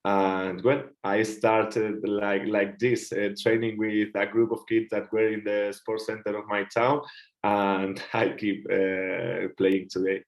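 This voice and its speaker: Halting Spanish-accented man, low voice. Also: little pitch movement, poor audio. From Catalonia, Spain. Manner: halting, searches for words. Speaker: guest, futsal player. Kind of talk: casual interview answers.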